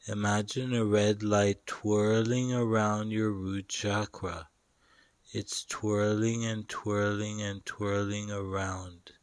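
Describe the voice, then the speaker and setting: A man guiding a meditation in short, deliberate phrases with long pauses between them. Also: fairly low pitch, flat delivery, clean tone, no echo. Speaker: meditation guide. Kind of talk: guided meditation narration.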